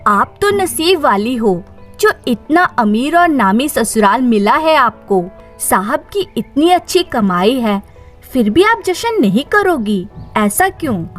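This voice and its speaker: A chatty, deferential housemaid, played by a woman storyteller asks curious questions in a high, very lively voice. The pace is slow, suited to a moral story. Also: faint music behind, exaggerated voice. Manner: chatty, inquisitive.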